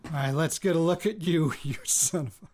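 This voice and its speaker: A man's voice: on the high side, a little fast, a little mellow, slight background noise, lightly acted. A man with an American accent playing a wry radio reporter in an audio drama, rambling hesitantly into his recorder and interrupting himself. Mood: alarmed. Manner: hesitant, self-interrupting, wryly joking. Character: rattled, wry young man, radio reporter returning home.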